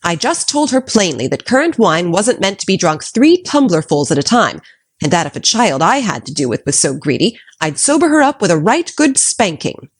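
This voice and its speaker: Casting: woman as a stern plain-spoken guardian, lower voice, lively pitch, dry sound. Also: moderate pace, slightly rough, no room sound. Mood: indignant. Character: strict but kindly older guardian woman.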